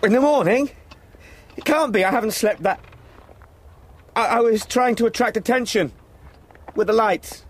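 An actor playing a frightened young solicitor in a radio drama, hesitant, stammering and full of questions. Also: male voice, high-pitched, moderate pace, pauses often. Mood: startled, confused.

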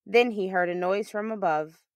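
A woman reading a children's picture book aloud as a storyteller, with expressive, emphatic delivery. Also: medium pitch, moderate pace, no echo, studio quality.

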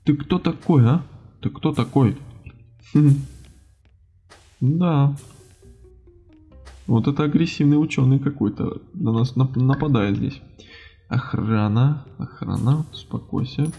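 A man giving casual, animated let's-play commentary on a video game, reacting and muttering to himself as host. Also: medium pitch, slow pace, gravelly, pauses often, breathy edge, lo-fi sound, soft background music. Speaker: gaming channel host.

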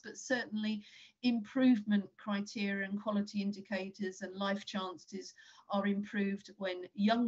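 Older woman, dull call audio. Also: medium pitch. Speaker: panelist. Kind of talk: measured panel presentation. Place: indoor room at home, video call.

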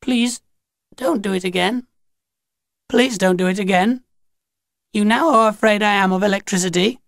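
A man's voice: high voice, normal speed, vocal fry, fairly bright tone, no echo, studio quality, voice pushed up. A man voicing an alien machine that poses as a stern old lady, with stilted, imperious, oddly phrased speech, in an audiobook. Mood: pleading, unstrained. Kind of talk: audiobook character voice.

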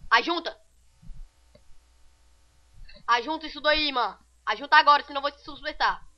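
An amateur dubber with a Brazilian accent doing a comic sitcom redub in excitable, slangy, insistent delivery. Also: high-pitched, moderate pace, lo-fi sound.

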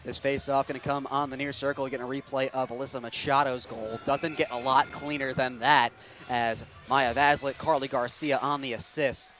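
A young man calling ice hockey play-by-play with rapid, steady narration that rises to excited shouts on goals, on a headset mic in an arena booth. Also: mid-range voice, slight background noise. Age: young adult. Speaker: play-by-play announcer.

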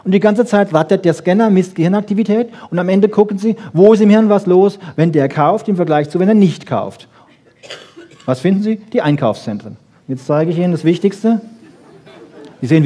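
A man giving a popular science lecture with wry humour, rhetorical questions and short checking tags, in a husky voice. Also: high voice, dark tone. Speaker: lecturer on brain research.

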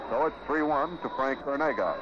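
An American man doing 1950s radio baseball play-by-play in short, measured, clipped phrases. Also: medium pitch, animated, lo-fi sound. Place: ballpark radio broadcast. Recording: noisy, band-limited 1950s radio broadcast.

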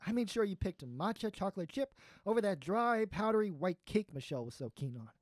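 A performer playing a gentle, sentimental, pleading old friend in an audio drama, earnest and hesitant. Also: medium pitch, lively intonation, a little fast, dry recording, close to an everyday voice. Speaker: voice actor in student audio drama. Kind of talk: naturalistic audio drama dialogue.

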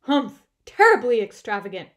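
A woman's voice: medium pitch, moderate pace, natural voice. A woman voicing a pompous, grumpy, stubborn male bear from a children's storybook. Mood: grumbling, demanding. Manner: pompous, haughty, loudly protesting.

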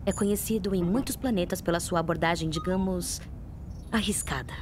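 An actress playing a female narrator of a space saga, measured and dramatic, with a Brazilian accent. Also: on the low side, background noise, natural voice. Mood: wry. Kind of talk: scripted narration.

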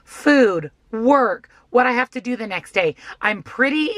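A hoarse woman in her thirties chatting upbeat and emphatic to a phone camera from a parked car, vlogging about her week. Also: a little high, lively intonation, measured pace, fairly bright tone, no echo. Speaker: weight-loss vlogger. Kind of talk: casual vlog chat to camera. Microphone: phone camera at arm's length.

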